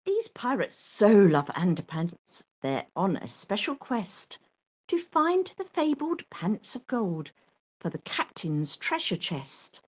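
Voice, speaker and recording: Slow, lively woman storyteller. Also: mid-range voice. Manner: expressive, theatrical delivery. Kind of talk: children's picture book read-aloud.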